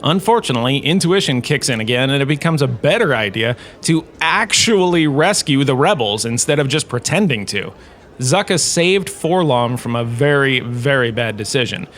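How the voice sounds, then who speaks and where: A man playing a self-regarding, jokey professor giving a scripted comedic lecture with theatrical storytelling pauses. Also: mid-range voice, animated, a bit bright, natural voice. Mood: earnest storytelling. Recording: clearly noisy background ambience.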